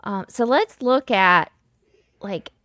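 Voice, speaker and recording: A woman's voice: mid-range voice, expressive, slow, a bit bright, no room sound, studio quality. A woman hosting a podcast interview, conversational and leaning on "you know". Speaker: podcast host. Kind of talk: casual interview talk.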